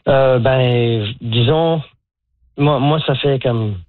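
Guest: man in his 60s from Moncton, halting and filler-heavy, heard over a thin, dry phone line. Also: mid-range voice, no echo. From Moncton, Canada. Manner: hesitant, many fillers and restarts. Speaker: guest, pickleball coach. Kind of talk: radio phone interview.

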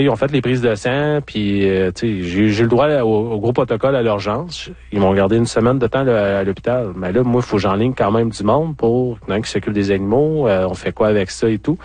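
Low-voiced Quebec man telling his story. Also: darkish tone, dry recording. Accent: Quebec French. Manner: candid, colloquial. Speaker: interview guest, former farmer. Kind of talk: conversational personal storytelling.

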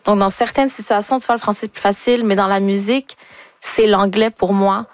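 Brief: young Québécois singer, relaxed talk, dry close sound. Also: female voice, medium pitch, a little fast, no room sound. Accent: Québécois French. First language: French. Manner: relaxed, mixes English words into French. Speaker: R&B singer, interview guest. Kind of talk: casual interview conversation.

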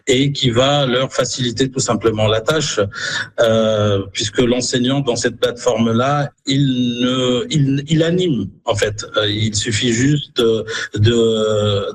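A man from Morocco with Arabic as his first language speaks at an ordinary pitch as a guest in a radio interview. He talks haltingly, with frequent fillers and restarts. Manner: hesitant, many "euh" fillers and restarts. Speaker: guest, filmmaker and French teacher.

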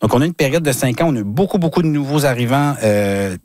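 A man from New Brunswick, a guest commentator in a radio interview, talking in a halting way with many fillers and restarts. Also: mid-range voice, expressive, darkish tone, no room sound, studio quality. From New Brunswick, Canada.

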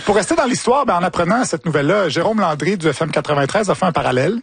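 A man's voice: sits high, lively intonation, racing, gravelly, slightly rough, no room sound. A man with a Quebec French accent hosting casual commentary on the news in a wry, joking, colloquial way. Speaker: host of a media commentary show.

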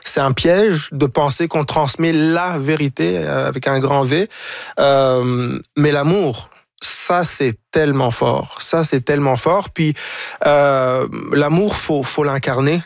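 A man, a guest on a talk show, speaking reflectively and haltingly, often repeating words as he searches for them. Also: on the high side, gravelly, no echo, studio quality. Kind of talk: reflective conversation.

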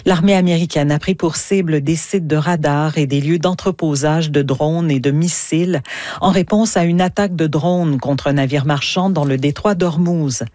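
A woman's voice: low-pitched, normal speed, dark tone, dry recording. Woman, Québécois accent, news presenter, scripted bulletin, very dry close sound.

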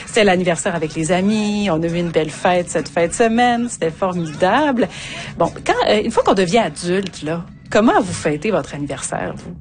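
A woman's voice: lowish voice, moderate pace. A middle-aged woman co-hosting a radio show, chatting casually and putting questions to her colleagues. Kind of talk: casual on-air chat.

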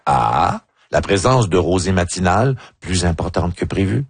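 A playful male radio host with a Quebec French accent sets up quiz questions and jokes with his co-hosts. His voice sits low and a little husky, swinging widely in pitch. The sound is dry and close. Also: animated, moderate pace, no room sound. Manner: playful, laughs often.

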